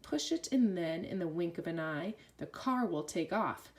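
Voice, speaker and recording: A woman reading a rhyming children's story aloud with an expressive, sing-song delivery. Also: fairly low pitch, moderate pace.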